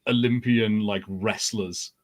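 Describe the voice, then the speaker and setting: A man's voice: fairly low pitch, fairly even intonation, slow pace. A man talking casually as a podcast co-host.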